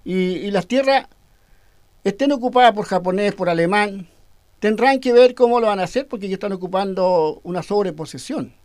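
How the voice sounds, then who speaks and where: A high-pitched man speaks firmly as a cacique under questioning, repeating words and stumbling as he builds his point. The recording is dry and close, with no room sound. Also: normal speed, a little mellow, no room sound. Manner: firm, repetitive, stumbling over words. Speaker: Mapuche cacique being interviewed. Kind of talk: radio interview answers.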